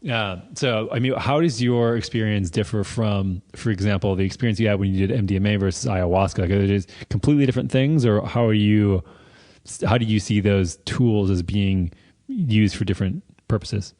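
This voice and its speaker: A man's voice: lowish voice, brisk, warm tone. A man hosting a podcast interview, chatting casually and feeling his way through rambling, tentative questions.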